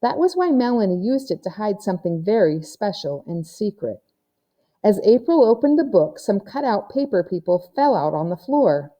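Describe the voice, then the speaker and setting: A woman's voice: mid-range voice, big pitch swings, moderate pace, dark tone. A woman narrating a children's novel as a read-aloud storyteller. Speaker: audiobook reader.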